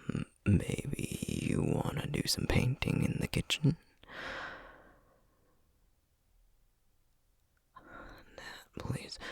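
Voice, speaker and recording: A man plays a worn-out Southern sorcerer dad in an intimate ASMR audio drama. His voice is very husky and breathy, often dropping to a near whisper. He talks slowly and tenderly, hesitating as he goes, with a Southern US accent. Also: medium pitch, dry recording, studio quality, exaggerated voice. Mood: tender, tired. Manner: slow, weary, tender, hesitant. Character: burnt-out Southern sorcerer single father, gentle boyfriend. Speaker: audio roleplay voice actor.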